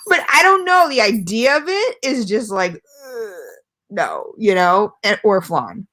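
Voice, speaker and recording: A woman's voice: medium pitch, sing-song, slow pace, sharp tone, low-quality recording. A woman chatting casually at home as she reviews a product for her channel, rambling and self-deprecating, with lots of fillers. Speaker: vlogger reviewing e-liquids. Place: at home, not her usual setup.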